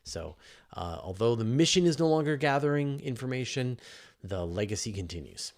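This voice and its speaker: A man's voice: medium pitch, big pitch swings, normal speed. A man hosting a live science question show as a journalist, talking casually and emphatically and often rephrasing mid-thought. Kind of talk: casual livestream Q&A, reading questions and answering off the cuff.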